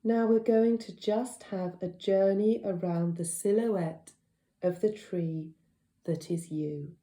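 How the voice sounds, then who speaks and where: A woman leading a guided relaxation as a yoga nidra teacher, speaking gently and soothingly with unhurried pauses. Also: mid-range voice, steady tone, roomy sound.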